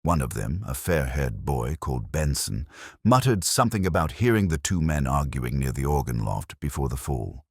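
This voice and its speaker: A man reads a scripted first-person detective story in a measured, literary way with deliberate pauses. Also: extremely low pitch, big pitch swings, breathy edge, no room sound, studio quality. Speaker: narrator.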